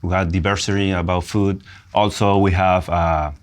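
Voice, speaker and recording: A man's voice: deep voice, little pitch movement, normal speed. A man from Peru with a Peruvian Spanish accent, a guest chatting casually and jovially, with lots of fillers. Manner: jovial, many fillers, laughs often. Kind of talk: casual interview chat.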